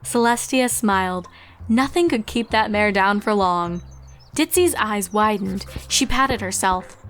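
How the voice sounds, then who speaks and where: A woman's voice: mid-range voice, unhurried, a bit bright. A woman reading fan fiction aloud as scripted storybook narration, with music behind.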